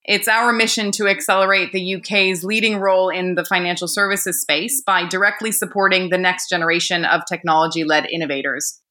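A woman's voice: lowish voice, fairly even intonation, brisk, few pauses, pushed and bright. An American woman from the United States, a guest executive speaking fluently in a podcast interview. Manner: articulate, fluent with fillers.

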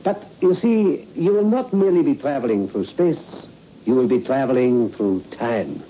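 A man's voice: mid-range voice, lively intonation, normal speed, lightly acted. An actor playing an eager, fussy elderly male scientist in an old radio suspense play. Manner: fussy, repetitive, hesitant then emphatic. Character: excitable elderly male scientist, eager, absent-minded professor. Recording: muffled, noisy old radio recording.

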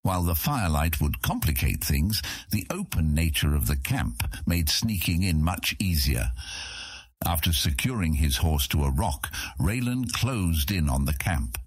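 A man with a husky voice narrating a scripted fantasy audiobook. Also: very deep voice, very animated, a little slow, no echo.

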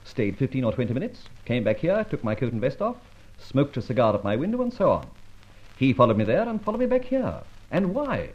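An actor playing a suave, quick-witted gentleman adventurer in an old-time radio drama, theatrical and teasing with a mock-grand manner. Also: medium pitch, wildly swooping pitch, lo-fi sound. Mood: breezy, mocking. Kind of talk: old-time radio drama acting and first-person narration.

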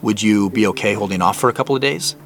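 An actor playing an awkward, apologetic man in an audio drama, speaking in a halting, naturalistic way. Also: lowish voice, faint music behind, close to an everyday voice. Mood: hesitant, concerned. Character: awkward, apologetic man investigating a family mystery.

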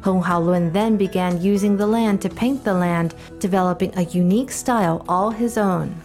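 A woman reads a news feature at a steady, even pace. Her voice is of middle pitch, and loud music plays under it throughout. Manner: even, measured reading. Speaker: news narrator. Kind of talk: scripted news narration. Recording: narration over loud music.

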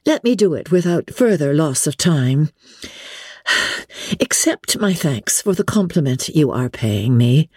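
Woman acting a high-pitched, indignant young gentlewoman. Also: husky, dry recording, clean studio sound, heavily acted. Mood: flustered, firm. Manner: flustered, emphatic, stammering politely. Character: spirited, indignant young gentlewoman, polite but firm. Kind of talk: dramatic classic novel reading.

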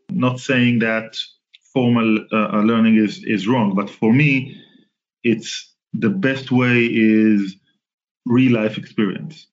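A man in his forties from Israel, a company founder talking as a podcast guest, halting with many restarts and fillers. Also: on the low side, highs cut off. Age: 40s. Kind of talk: casual interview talk.

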